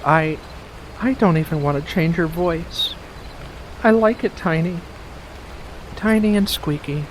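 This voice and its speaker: An ASMR performer voicing a doting, playful, sweetly possessive witch in a soft-spoken roleplay, cooing and coaxing with hums and pet names, over a noisy background. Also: medium pitch, a little slow, natural voice. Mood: cooing, delighted.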